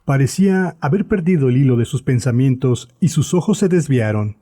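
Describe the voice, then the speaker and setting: A man's voice: medium pitch, lively intonation, normal speed, warm tone. A man reading scripted narration for a horror story as its narrator.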